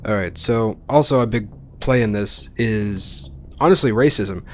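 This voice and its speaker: A man's voice: on the low side, unhurried. A man giving casual let's play commentary on a video game, with wry, joking asides.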